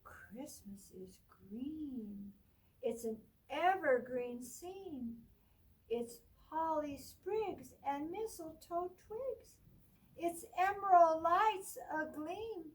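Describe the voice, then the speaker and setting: A woman librarian reading a picture book aloud to children in a slow, sing-song voice, gently coaxing her young listeners and lifting her voice on each rhyme. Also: high-pitched. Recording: voice distant from the mic.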